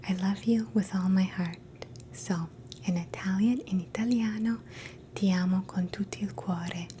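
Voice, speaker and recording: A woman in a soft, gentle, mostly unvoiced whisper, slowly teaching phrases in a relaxing language lesson and repeating each one. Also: medium pitch, fairly even intonation, slight background noise. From Canada. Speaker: ASMR host teaching phrases.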